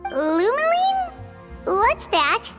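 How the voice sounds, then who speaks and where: A woman voicing a tiny, outspoken childlike fairy sidekick in a game, chirpy and squeaky. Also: sing-song, extremely slow, big-room sound, over loud music, heavily acted. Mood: curious. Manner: squeaky, exclaiming. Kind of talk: anime-style game voice acting.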